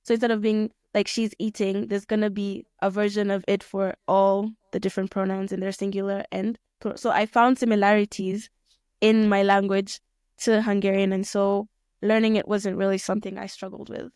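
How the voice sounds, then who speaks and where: A young woman from Kenya talks through her answers with many small restarts and fillers. Her voice is smooth and clear, held in a fairly narrow pitch band. The sound is dry and close. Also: level tone, normal speed, a little mellow, no echo. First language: Swahili. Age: young adult. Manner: thoughtful, rephrases often, many fillers. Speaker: guest, pilot student. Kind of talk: casual interview answers.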